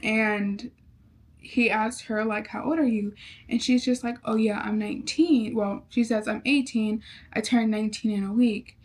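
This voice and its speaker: A woman's voice: fairly high pitch, steady tone, measured pace, darkish tone. A young woman casually recapping a novel she is reading, chatty and rambling, with lots of fillers. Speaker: book vlogger.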